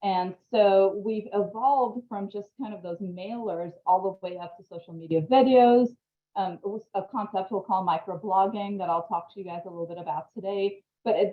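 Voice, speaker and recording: A woman's voice: medium pitch, normal speed, roomy sound. Woman lecturing over a tinny video call. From Trinidad. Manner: friendly, chatty, laughs easily. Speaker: marketing instructor. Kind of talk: online presentation. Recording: phone-like video call audio.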